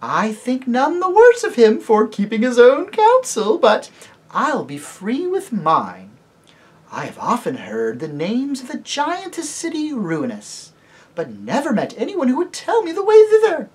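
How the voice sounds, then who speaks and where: A woman's voice: on the high side, wildly swooping pitch, unhurried, darkish tone, husky, voice pushed up, exaggerated voice. A woman voicing a gracious noble lady on horseback who trills her R's and speaks in lilting, old-fashioned courtly phrases. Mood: gracious persuasive. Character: sweet-voiced enchanting lady on horseback, grand and gracious.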